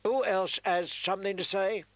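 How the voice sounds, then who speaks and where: A man's voice: high-pitched, steady tone, moderate pace, fairly bright tone, dry recording, voice pushed up. A man voicing an arrogant young prince who mocks and threatens his captives. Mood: commanding. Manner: sneering, commanding.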